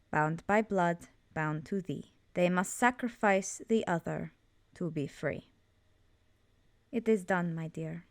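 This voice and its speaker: Lady doing an old swamp witch seer for asmr, breathy n whispery, low voice, super dry close sound, cryptic but fond. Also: dry recording. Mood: solemn incantation. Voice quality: breathy, often dropping into whisper. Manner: measured, cryptic, solemn, addressing listener as dear. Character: ancient cursed swamp witch seer, ominous yet fond. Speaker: ASMR roleplay performer. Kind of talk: ASMR roleplay, slow soft-spoken monologue.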